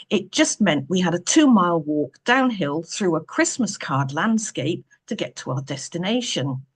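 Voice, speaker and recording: A woman's voice: fairly low pitch, slightly rough. A woman reading a first-person story aloud in a dry, wry, understated way. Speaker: author reading own novel aloud.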